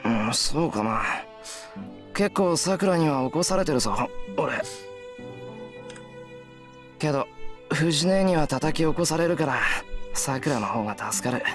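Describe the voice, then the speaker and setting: Sleepy easygoing anime schoolboy, done by an actor, mid pitch, game music clearly behind. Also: lively intonation, moderate pace, pauses often, lightly acted. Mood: sleepy, appreciative. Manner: casual, drowsy. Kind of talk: Japanese visual novel voice acting.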